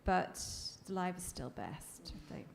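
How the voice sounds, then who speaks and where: A woman author speaking about her book on a panel, in a measured, academic way. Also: lowish voice, expressive, vocal fry, noisy background. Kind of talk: panel discussion.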